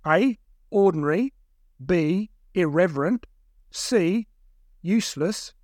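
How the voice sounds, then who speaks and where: A man's voice: high voice, lively intonation, takes it slowly, a little mellow, no room sound, studio quality, pitched above the performer's own voice. A man voicing a big, jeering captor who fires off questions like a mocking quizmaster in an audiobook. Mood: taunting. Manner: taunting, mocking quizmaster delivery. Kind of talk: audiobook character voice.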